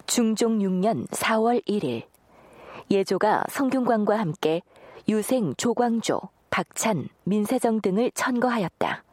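A woman's voice: mid-range voice, expressive, unhurried, darkish tone, dry recording. A woman formally reading out a historical record.

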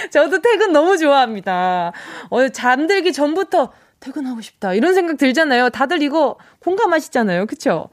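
A woman's voice: high-pitched, no echo, clean studio sound. A young woman from Busan hosting a radio show, chatting casually, laughing often and acting out listeners' messages. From Busan, South Korea. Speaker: radio DJ host. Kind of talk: casual radio chat reading listener texts. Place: radio studio. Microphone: studio microphone.

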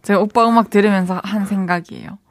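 A woman's voice: medium pitch, fairly even intonation, fast talker. A woman hosting a radio show in casual, playful banter with a co-host. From South Korea, a dialect-speaking region.

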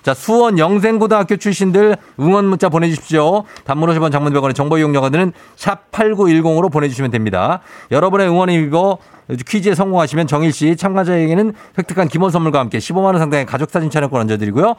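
A man's voice: fairly high pitch, very fast, hardly any pauses, no echo. A man in his forties hosting a radio quiz in a playful, encouraging way, reading out listener messages. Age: 40s. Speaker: radio quiz show host. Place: radio studio.

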